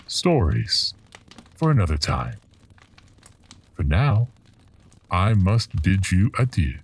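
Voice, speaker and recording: A man playing a mysterious, ominous collector of dark tales in a horror radio drama, speaking formally and cryptically. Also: low voice, sing-song, slow pace, husky, far from the mic, exaggerated voice. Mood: calm, ominous.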